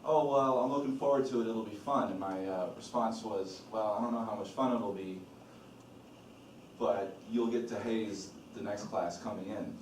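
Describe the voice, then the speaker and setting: A man from Illinois, a law review editor-in-chief, leading an orientation in a relaxed, loose way with lots of "uh" and "um". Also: lowish voice, moderate pace, slight background noise. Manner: relaxed, loose delivery with frequent "uh" and "um". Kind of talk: casual spoken orientation talk. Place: meeting room with a group of students. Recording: roomy echo, voice from a distance.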